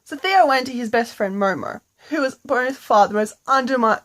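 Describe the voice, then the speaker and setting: A woman with a fairly high voice tells a made-up bedtime story. Her delivery is casual and playful, full of slang, like she is inventing it on the spot. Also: expressive, normal speed.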